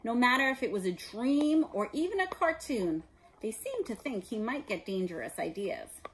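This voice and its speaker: Animated woman reading a storybook. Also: on the high side, moderate pace, poor audio. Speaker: storybook reader. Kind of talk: expressive read-aloud narration for children. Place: living room indoors.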